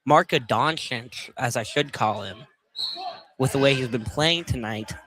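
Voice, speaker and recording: A man's voice: mid-range voice, measured pace, fairly bright tone, a little hiss or hum, low-quality recording. A young man giving informal, conversational color commentary during a live college basketball radio broadcast.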